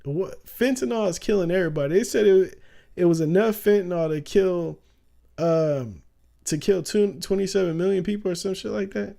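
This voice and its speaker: Laid-back slangy man, mellow voice. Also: high-pitched, moderate pace. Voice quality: raspy at times. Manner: loose, slangy, laughs often, says "bro" a lot. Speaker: streamer. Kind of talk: casual livestream commentary.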